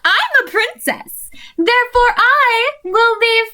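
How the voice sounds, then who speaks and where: A woman's voice: very high-pitched, animated, slow pace, sharp tone, exaggerated voice. A woman voicing a haughty, snobbish young unicorn princess with prim, pompous diction in an audio drama.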